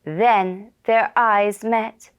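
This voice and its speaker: A woman telling a children's puppet story in a gentle, deliberate and soothing manner. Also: mid-range voice, fairly even intonation, clear, resonant tone. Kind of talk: slow narrated children's story.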